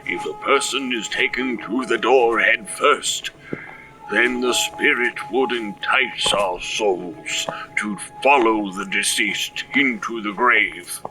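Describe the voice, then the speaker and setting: A man playing a solemn village reverend in an audio drama, preaching and reciting scripture in a measured way. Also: on the low side, recorded from a distance, music in the background, poor audio. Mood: grave, instructive.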